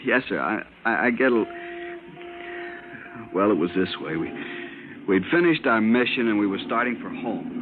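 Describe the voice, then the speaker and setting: Actor as intense navy pilot, noisy old radio. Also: male voice, lowish voice, expressive, normal speed, long pauses, narrow, tinny audio, close to an everyday voice. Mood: subdued, hesitant. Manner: urgent, emotional, slangy. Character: intense, haunted young navy bomber pilot lieutenant. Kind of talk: 1950s radio drama acting.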